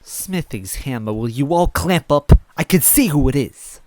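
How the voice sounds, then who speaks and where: An irritable robot henchman acted out by a male reader, gruff and fed up, snapping at his mates. It is a dry, close storybook performance with an exasperated edge. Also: on the high side, animated, measured pace, darkish tone, no echo, soft background music, voice pushed up. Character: irritable red robot axe-man on his day off.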